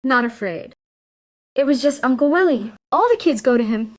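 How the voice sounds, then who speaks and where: A woman's voice: high-pitched, expressive, normal speed, no room sound. A woman playing a sullen, evasive young schoolgirl in an audio drama, offhand and defensive. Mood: defensive, sulky.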